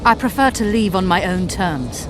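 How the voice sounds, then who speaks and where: A woman's voice: medium pitch, animated, moderate pace, noisy background, close to an everyday voice. A woman playing a bitter, menacing sorceress in a video game, with an imperious, disdainful, theatrical delivery. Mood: cold, scornful. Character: haughty scheming sorceress, bitter, menacing and contemptuous. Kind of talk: dramatic video game acting. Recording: loud music under the voice.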